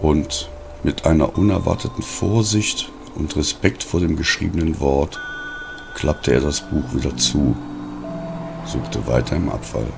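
A man reading a scripted diary story as an audiobook narrator, in a measured, deliberate way with pauses between phrases. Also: very deep voice, gravelly, noisy background.

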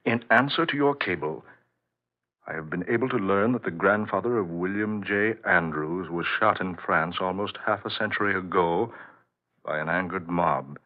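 Actor as deep-voiced earnest radio drama husband. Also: male voice, close to an everyday voice. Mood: calm, serious. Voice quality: low-pitched voice. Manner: deliberate, dramatic delivery. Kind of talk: 1940s radio horror acting. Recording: dull old radio recording.

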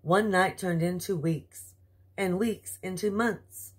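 Middle-aged woman, lowish husky voice, children's read-aloud, measured, expressive. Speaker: storyteller host in Mrs. Claus persona.

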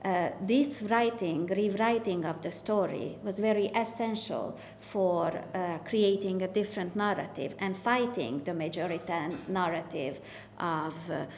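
A woman's voice: fairly low pitch, unhurried. Woman moderator full of fillers. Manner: lots of "uh" fillers, trails off quietly. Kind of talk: panel discussion. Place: art gallery room. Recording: reverberant hall, faint noise.

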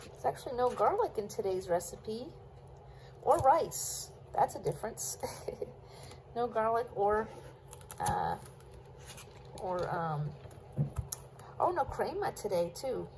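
A woman's voice: on the high side, big pitch swings, slow, long pauses, darkish tone, slight background noise. A woman chatting casually with her viewers while she chops vegetables at her kitchen counter. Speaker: cooking vlogger. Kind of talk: casual cook-along chat.

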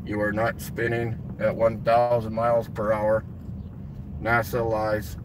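A man's voice: lowish voice, completely flat delivery, unhurried. A middle-aged man with a Canadian accent, making blunt short statements in a casual vlog and calling out slogans to passers-by. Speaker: vlogger and street activist. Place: inside a parked or slow-moving car. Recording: car interior with vehicle noise.

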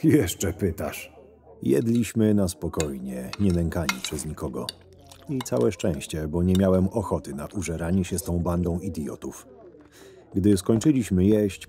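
A man playing a cynical, scheming camp henchman in an audio drama, narrating his days in a dry, measured, sardonic way. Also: low voice. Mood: businesslike, deferential. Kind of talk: first-person scripted narration. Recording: music under the voice. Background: background music.

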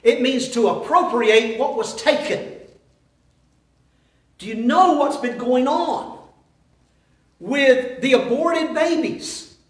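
An older man preaching a sermon in a small church, animated, pushing ahead quickly and then dropping low and quiet for emphasis. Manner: animated, gesturing preacher who speeds up and drops quiet for emphasis. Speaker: pastor. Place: small church sanctuary, indoors. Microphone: far camera, no visible mic. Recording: roomy echo, distant mic.

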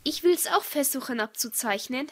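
A woman's voice: sits high, lively intonation, normal speed, a bit bright. A woman playing a vain, stubborn, childishly bickering male adventurer in an audio drama. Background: music.

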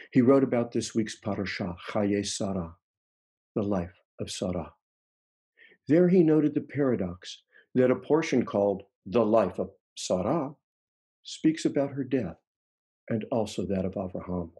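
Male, 60s, solemn tribute speaker; husky, dark tone, measured phrasing over a video call. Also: on the low side. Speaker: rabbi giving a tribute. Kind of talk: scripted eulogy, read aloud. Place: indoor room at home, video call.

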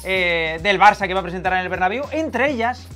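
A man's voice: high voice, lively intonation, fairly quick, pushed and bright, soft background music. A man in his thirties hosting a casual sports talk show in a TV studio. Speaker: studio host.

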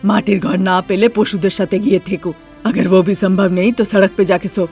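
A woman's voice: mid-range voice, little pitch movement, fairly quick, a little mellow, soft background music, voice pushed down, natural voice. A woman voicing a haughty, bossy, rich older mother-in-law in a cartoon story, scolding imperiously. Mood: scornful. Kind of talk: Bengali cartoon story acting.

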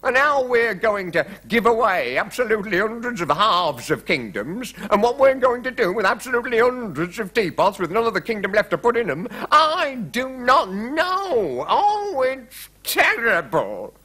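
A flustered storybook king, as a male narrator puts him on, forever exclaiming and complaining. The delivery is forceful and swings widely in pitch, full of dithering exasperation. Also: big pitch swings, moderate pace, few pauses, exaggerated voice. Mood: exasperated wailing. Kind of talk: children's storybook character reading.

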